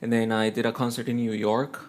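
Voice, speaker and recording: A man's voice: mid-range voice, a little fast, slight background noise. A young Colombian man with a Spanish accent, a guest in an interview, talking casually and telling stories with many fillers. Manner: relaxed, storytelling, with frequent fillers and laughs. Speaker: guest, teacher and violinist.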